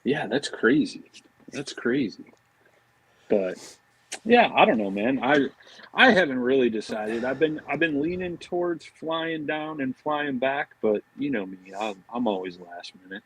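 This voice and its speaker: A man chatting casually as a podcast guest, talking in a loose, halting way. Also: mid-range voice, expressive, slight background noise, rough recording. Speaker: podcast guest, fishing brand marketing director. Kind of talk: casual chat.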